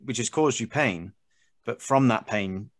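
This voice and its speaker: A man's voice: mid-range voice, measured pace, no echo, studio quality. A man hosting an interview podcast in a conversational style.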